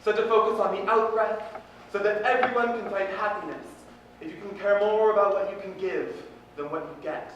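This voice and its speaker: A man performing spoken-word poetry with impassioned, deliberate, earnest phrasing. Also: high-pitched, steady tone, fairly bright tone, dull audio. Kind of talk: slam poetry recitation, dramatic and earnest. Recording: very echoey room, distant mic, faint noise.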